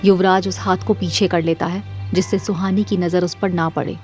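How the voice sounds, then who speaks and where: A woman's voice: on the low side, little pitch movement, moderate pace, a little mellow, soft background music. A woman narrating a scripted audio drama as its storyteller.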